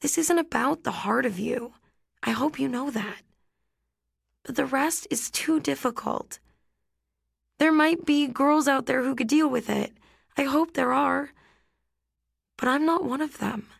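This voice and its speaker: A woman voicing a soft, tearful teenage girl. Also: sits high, a little slow, vocal fry, long pauses, no echo, clean studio sound, voice pushed up, heavily acted. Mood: tender, firm. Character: gentle teenage girl, tender but resolute. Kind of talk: audiobook character voice.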